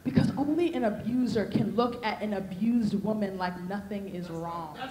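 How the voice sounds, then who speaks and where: A woman's voice: mid-range voice, moderate pace, a crowd in the background. A young woman preaching a sermon on a convention stage into a handheld mic, with a rhythmic, repetitive cadence. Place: convention hall stage with podium. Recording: echoey hall.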